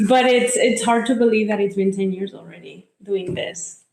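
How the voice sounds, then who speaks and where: A woman's voice: mid-range voice, normal speed, creaky, darkish tone, slight background noise. Reflective woman in echoey room. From Mallorca, Spain. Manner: reflective, laughs while telling her story. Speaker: guest, home builder. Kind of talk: podcast interview.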